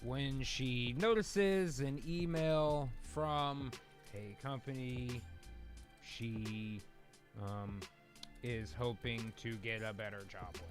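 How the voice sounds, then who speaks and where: A man's voice: mid-range voice, slow, over music. A man hosting a live comedy show, talking casually and informally, swearing freely and reading aloud as he types. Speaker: host of a live improvised radio drama show.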